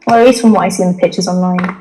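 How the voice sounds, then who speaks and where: A woman's voice: on the low side, steady tone, racing, darkish tone, faint music behind, low-quality recording. A woman from England, chatting casually and informally with friends. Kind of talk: casual group chat.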